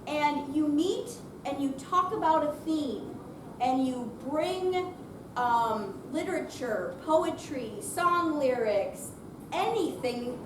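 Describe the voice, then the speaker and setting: A woman presenter speaking loudly and chattily off the cuff to a live audience, introducing a theater program. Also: sits high. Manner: loud, projecting, chatty. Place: senior center room, unamplified, before an audience. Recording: distant mic, echoey room, noisy.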